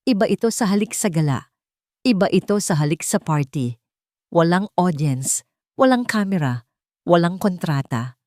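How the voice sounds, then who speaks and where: Filipina lady narrating a dramatic love story, lowish voice, dry sound. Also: darkish tone, dry recording.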